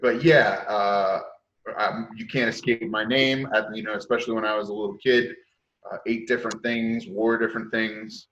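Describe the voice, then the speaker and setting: A man's voice: on the low side, fairly even intonation, normal speed, fairly bright tone. A man in his late thirties, a podcast guest, speaking frankly and reflectively with many hesitations. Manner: reflective, lots of uh fillers, frank. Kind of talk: casual chat. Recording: dull lo-fi mic.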